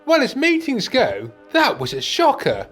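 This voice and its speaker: Radio play sidekick: older male, worried grumbler, very lively intonation man performing. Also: very high-pitched, unhurried, soft background music, exaggerated voice. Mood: grumbling. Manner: worried, plaintive questioning. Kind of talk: amateur radio play acting.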